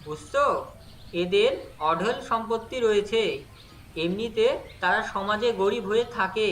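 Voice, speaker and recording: A man's voice: high-pitched, a little slow, fairly bright tone, a little hiss or hum. A man voicing a greedy, two-faced young husband in a cartoon fairy tale, persuasive and explanatory. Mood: sly, boastful. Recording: music under the voice. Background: background music.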